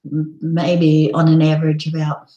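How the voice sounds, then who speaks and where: A woman with a croaky, hoarse voice, hosting a casual live broadcast and rambling with many ums. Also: low voice, completely flat delivery, a little slow, mellow, echoey room, muffled recording. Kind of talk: casual live-stream chat.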